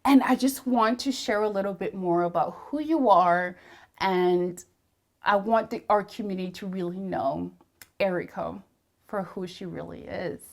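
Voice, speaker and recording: Host, woman, 30s, Spanish first language; chatty and effusive, filler-heavy, mid-pitched. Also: measured pace, creaky. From Latin America, Spanish-speaking. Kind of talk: casual interview chat.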